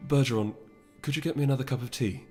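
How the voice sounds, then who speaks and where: A man's voice: mid-range voice, darkish tone, faint music behind, natural voice. Man voicing a subdued, regal pony princess. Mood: weary. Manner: measured, subdued, formal. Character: regal pony princess, composed and weary ruler. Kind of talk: dramatic audiobook character voice.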